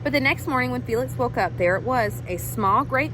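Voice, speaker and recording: A woman schoolteacher reading a storybook aloud to children in an expressive way, engaging her listeners as she goes. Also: a little high, a little fast, noisy background. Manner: animated, dramatizes dialogue and asks listeners questions.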